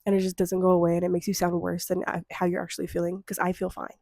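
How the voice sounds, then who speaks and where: A woman's voice: lowish voice, completely flat delivery, fast talker, vocal fry, mellow, dry recording, studio quality. A young woman hosting her own podcast, talking in a loose, rambling way with lots of ums and likes, her voice a bit stuffy from a cold with a lingering cough. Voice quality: slightly congested. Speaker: podcast host. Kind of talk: casual solo podcast chat.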